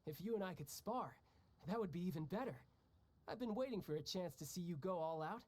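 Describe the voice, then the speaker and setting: A man's voice: high voice, animated, fairly quick, a little mellow, slight background noise. An actor playing a cocky young male warrior who talks in a breezy, joking way. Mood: teasing. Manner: breezy, joking, laughs often. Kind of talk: video game dialogue. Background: game music.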